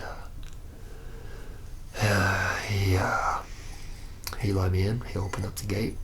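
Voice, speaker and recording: A man playing a reluctant, uneasy young vampire in an ASMR roleplay, telling his story in a hesitant, rambling, confiding way with a breathy, gravelly half-whisper. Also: on the low side, fairly bright tone, slight background noise, full character voice. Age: young adult.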